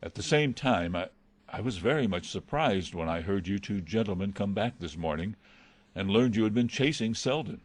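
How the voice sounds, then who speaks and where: A man's voice: lowish voice, expressive, moderate pace. A man playing an anxious, loyal butler, hesitant, formal and respectful, in a dramatised reading of a classic novel. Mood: hurt, apologetic. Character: deferential, anxious male butler, pleading and loyal.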